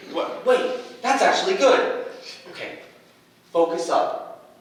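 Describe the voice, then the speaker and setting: A man's voice: high voice, lively intonation, slow pace, a little hiss or hum. A man playing a nervy but hopeful young hero with fire powers, stammering and earnestly encouraging in a staged reading. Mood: rallying. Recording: echoey room, distant mic.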